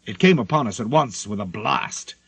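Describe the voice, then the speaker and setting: A man narrating a literary memoir as an audiobook, in a measured, expressive storytelling manner. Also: medium pitch, creaky, husky, no room sound.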